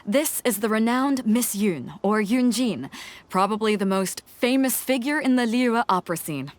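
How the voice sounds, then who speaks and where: An actress playing a hearty, confident seafaring captain in a video game, casual and chummy with a bit of swagger. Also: female voice, fairly high pitch, expressive, normal speed, natural voice. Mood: jovial, friendly.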